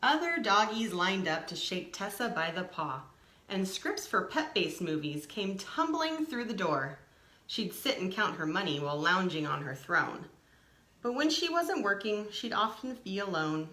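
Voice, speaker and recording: A woman reading a picture book aloud to young children, expressively, pausing to add simple explanatory asides. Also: medium pitch, sing-song, bright, forceful tone, echoey room. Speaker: storytime reader.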